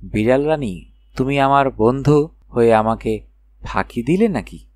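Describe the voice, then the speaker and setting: A man's voice: mid-range voice, animated, unhurried, darkish tone, dry recording, close to an everyday voice. A man voicing an earnest, trusting young prince in a fairy tale, speaking plainly. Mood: worried.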